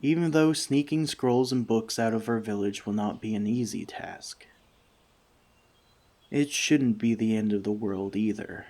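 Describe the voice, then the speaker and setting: A man playing a friendly, thoughtful forest elf in a soft-spoken ASMR roleplay, speaking to the listener in measured, slightly formal words. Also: fairly low pitch. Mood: reluctant, giving in. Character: friendly male forest elf, gentle, thoughtful, long-lived.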